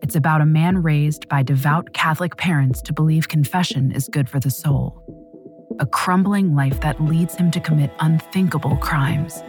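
A woman's voice: sits low, normal speed, a little mellow, faint music behind. A woman hosting a true crime podcast, reading a scripted, dramatic story.

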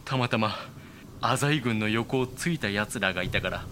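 A man playing a cold, broken Japanese warlord in a period voice drama, speaking archaic lordly lines deliberately with dramatic pauses. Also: lowish voice, a bit bright, slight background noise, close to an everyday voice. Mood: coldly ruthless. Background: dramatic music.

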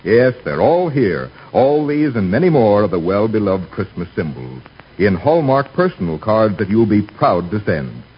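Husky, animated 1940s radio pitchman. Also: normal speed. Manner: polished, persuasive sales delivery. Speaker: radio announcer. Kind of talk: 1940s radio advertising, scripted. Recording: dull 1940s radio recording.

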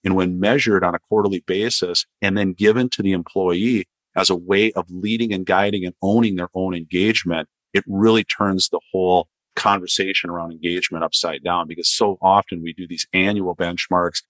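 Deep-voiced earnest man on a podcast. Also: steady tone, a little fast, few pauses, fairly bright tone, no room sound. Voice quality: baritone. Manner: earnest, reflective, repeats phrases. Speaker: guest. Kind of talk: podcast interview, consulting talk.

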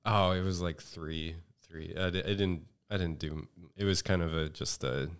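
A man with a low, smooth, resonant voice talks as a podcast guest. He speaks in a flat, monotone way and fills his sentences with ums and restarts. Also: moderate pace. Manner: hesitant, many ums, self-described monotone. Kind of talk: casual chat.

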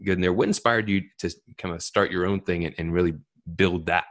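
A man's voice: deep voice, a little fast, a bit bright. A man hosting a podcast, reading a scripted intro, then chatting loosely and joking with his guest. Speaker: podcast host. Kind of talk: podcast interview.

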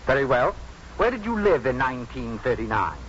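An actor playing a stern, pompous medical professor who questions like a prosecutor in a 1950s radio drama. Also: male voice, medium pitch, big pitch swings, brisk, a bit bright. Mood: prosecutorial. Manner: pompous, formal, pressing questioner. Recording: dull noisy 1950s radio recording.

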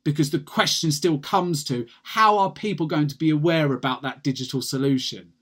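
British man, energetic and passionate, slightly high-pitched, rambling podcast guest. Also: normal speed. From United Kingdom. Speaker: guest patient advocate. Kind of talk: casual podcast interview.

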